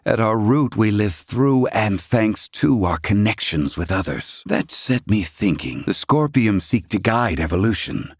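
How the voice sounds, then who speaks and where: A man's voice: on the low side, expressive, measured pace, few pauses, breathy edge, no room sound, studio quality, heavily acted. A man playing an erudite, haughty yet curious scholar in a video game, speaking in a formal, eloquent way with archaic wording. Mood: reflective.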